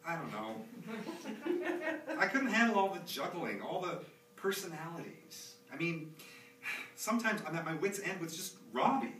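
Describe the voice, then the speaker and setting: A man's voice: a little high, lively intonation, normal speed, long pauses, pushed and bright. A man performing a theatrical monologue as an anxious, chatty, nostalgic young dad, rambling expressively in a live reading. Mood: rueful. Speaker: author performing own monologue. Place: small theatre stage, recorded live from a distance. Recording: roomy, distant, noisy live theatre recording.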